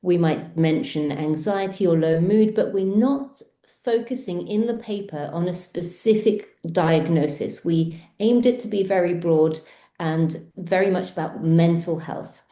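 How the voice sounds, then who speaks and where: A woman guest explaining research in a measured, structured way over a video call. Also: fairly low pitch, warm tone, roomy sound. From Switzerland, Australia and France.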